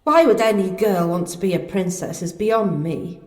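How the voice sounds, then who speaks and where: A woman's voice: fairly low pitch, normal speed, faint music behind, close to an everyday voice. Spirited young princess, acted by a woman, echoing. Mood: excited musing. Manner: chatty, polite, expressive. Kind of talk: radio play acting. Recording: echoey, reverberant cell.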